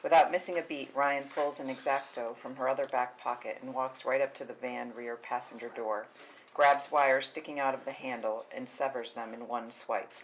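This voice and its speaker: Really low voiced woman reading screenplay action lines at a table read, faint noise behind her. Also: fairly even intonation, moderate pace, rough recording. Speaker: narrator reading stage directions. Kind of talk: scripted narration at a table read.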